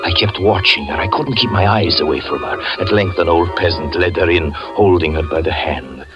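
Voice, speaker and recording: A man playing a brooding magician who narrates his story in a 1940s radio drama, slow and solemn. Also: sits low, lightly acted. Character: brooding male magician and fortune teller narrating his past. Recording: dull 1940s radio broadcast with music.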